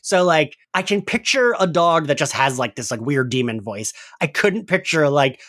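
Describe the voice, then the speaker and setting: Male host for a casual recap chat: very animated delivery, giggly and meandering. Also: a little high, moderate pace. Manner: giggly, filler-heavy, rambling. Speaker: podcast host. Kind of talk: casual chatty recap discussion.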